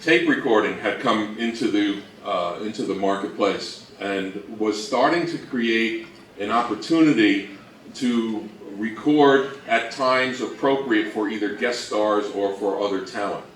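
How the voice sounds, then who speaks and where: A man presenting an informal history talk, speaking in a halting, thinking-aloud way, recorded away from the mic. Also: lowish voice, a bit bright, distant mic. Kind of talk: informal lecture on old radio history. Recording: roomy, off-mic, faint noise.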